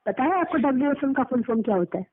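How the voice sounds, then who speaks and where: A high-voiced woman speaks over a narrow, lo-fi telephone line. She argues for a customer as an advocate, lecturing and pressing questions. She repeats key phrases to drive her point home. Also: expressive, racing, husky. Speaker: advocate arguing on behalf of a customer. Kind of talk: argumentative phone call, questioning. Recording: low-fidelity recorded phone call.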